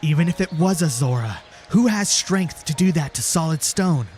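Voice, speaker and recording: A grim young hero, played by a man, with a slightly high voice. He is determined and pressing, in a fantasy audio drama with faint music behind. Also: animated, moderate pace, darkish tone, natural voice. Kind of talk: cinematic audio drama acting.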